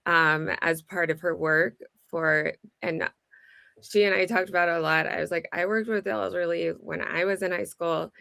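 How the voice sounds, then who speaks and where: Gravelly-voiced woman, heartfelt and chatty. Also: lowish voice, steady tone, normal speed, bright, forceful tone, no room sound. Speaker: co-host. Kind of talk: casual chat on a live video call.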